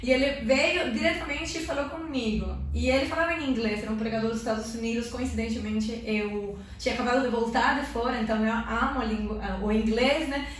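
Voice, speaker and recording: A woman's voice: fairly high pitch, fairly quick, few pauses, a little hiss or hum. Woman sharing an emotional church testimony. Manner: earnest, tag questions, lively. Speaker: interview guest giving testimony. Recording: echoey room, mic at a distance.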